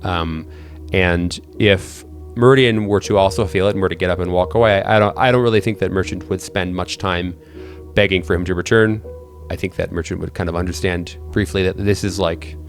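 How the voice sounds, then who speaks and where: A man working as game master, improvising horror narration in a halting way, often repeating words as he thinks aloud. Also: sits low, fairly even intonation, soft background music.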